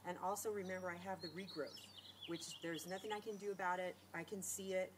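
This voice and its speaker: A middle-aged woman talks to camera in a loose, rambling way, full of fillers and restarts. Her voice sits slightly low and sounds nasal and blocked from a cold. The outdoor camera audio carries clear background noise. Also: steady tone, a little fast. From California. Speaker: beauty vlogger. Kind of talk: casual talk to camera. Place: outdoors against a sunlit wall. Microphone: camera on a tripod.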